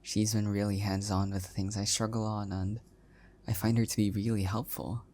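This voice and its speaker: Soft-spoken, hesitant, oblivious young husband played by a man. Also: lowish voice, flat delivery, moderate pace, natural voice. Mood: bashful, unsure. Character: adorkable, oblivious, self-deprecating young husband. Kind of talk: intimate audio roleplay, one-sided conversation.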